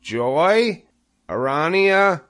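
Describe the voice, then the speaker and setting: Man voicing an earnest young pig, high-pitched. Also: big pitch swings, extremely slow, fairly bright tone, heavily acted. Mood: solemn, heartfelt. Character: sentimental young male pig, earnest and tender-hearted.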